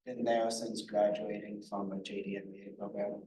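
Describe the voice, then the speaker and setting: A man's voice: lowish voice, little pitch movement, normal speed. Man judge, echoey noisy room. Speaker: competition judge. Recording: echoey room with clear noise.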